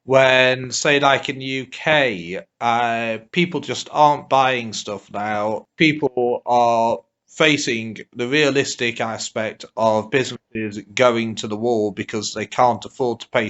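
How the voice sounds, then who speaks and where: A man asking questions as the interviewer in a phone interview. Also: a bit bright. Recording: muffled, low-fidelity interview recording.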